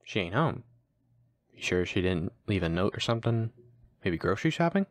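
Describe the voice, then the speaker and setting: A man playing a gruff but kindly, streetwise neighbor in an audio roleplay, talking in a casual, blunt, slangy way. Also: lowish voice, sing-song, moderate pace, dry recording. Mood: curious, laid-back.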